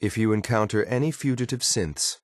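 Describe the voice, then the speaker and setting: A man's voice: fairly low pitch, moderate pace, no echo, studio quality, natural voice. A man playing a cool, efficient synth enforcer in a video game, clipped and matter-of-fact, watchful and faintly condescending. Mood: cool, disdainful. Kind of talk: video game companion voice lines.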